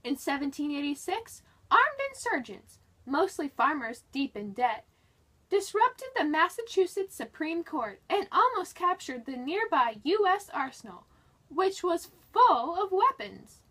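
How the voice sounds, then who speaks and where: A woman's voice: high voice, big pitch swings, a little slow, fairly bright tone. A woman reading a history book aloud in a dramatic, scripted narration style.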